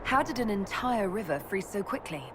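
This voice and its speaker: An actress playing a composed, resolute elf mage who leads with calm, measured authority in a video game. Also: female voice, mid-range voice, background noise, close to an everyday voice. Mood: concerned, businesslike. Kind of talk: video game dramatic acting.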